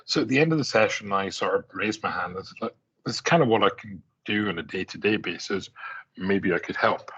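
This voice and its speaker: A middle-aged man with an Aberdeen Scottish accent gives a conference talk in a loose, conversational way. His voice is low, husky and bright-edged, and the audio is dull and lo-fi. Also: brisk. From Scotland. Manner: conversational with frequent fillers and restarts. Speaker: conference speaker. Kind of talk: conference presentation.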